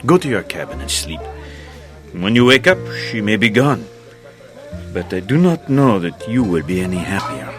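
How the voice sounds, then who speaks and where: An actor playing a sly hotel manager in a radio drama. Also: male voice, low-pitched, sing-song, normal speed, music in the background. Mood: quietly concerned. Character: amused, sly French hotel manager, confidant to the house detective.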